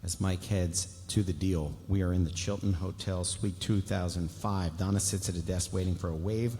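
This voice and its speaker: A man narrates a TV script aloud at a live table read, slipping in wry, playful asides. His voice sits on the low side and rings with the long reverb of a big hall. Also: steady tone, normal speed, dark tone. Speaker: stage directions reader.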